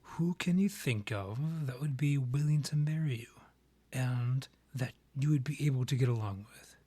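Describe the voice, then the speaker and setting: A man playing a haughty vampire monarch, a proud rival and ex-lover, with formal, measured, archly condescending phrasing in a romantic roleplay audio drama. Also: medium pitch, dark tone, husky, natural voice. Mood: coaxing, exasperated.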